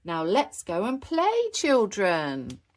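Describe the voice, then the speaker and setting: A kindly lady gazelle who teaches little ones, played by a woman reader. She explains things patiently and encouragingly, with a very animated rise and fall. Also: medium pitch, slow. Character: kindly adult female gazelle schoolteacher. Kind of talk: children's storybook character read aloud.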